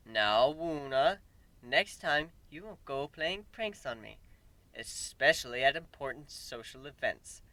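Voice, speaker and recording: A man's voice: mid-range voice, animated, unhurried, pushed and bright, no room sound. A man voicing a cocky, mischievous griffin captain with a smug, teasing, boisterous manner in a fantasy story. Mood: smug, excited.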